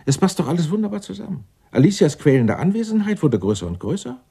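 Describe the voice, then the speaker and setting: A man's voice: high-pitched, sing-song, fast, vocal fry, dark tone, breathy edge, full character voice. An actor playing an eccentric, indignant, self-righteous clerk in his sixties in a radio drama, speaking in a florid, pompous, theatrical way. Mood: indignant, excited. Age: 60s. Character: eccentric, indignant 64-year-old Dutch tax-law clerk, self-righteous. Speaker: murder suspect being questioned.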